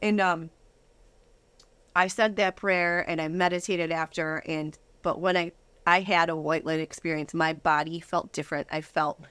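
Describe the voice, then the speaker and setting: A woman's voice: on the low side, fairly even intonation, moderate pace, a bit bright, smooth, no echo. A woman speaking as an interview guest, giving a casual, conversational personal testimony.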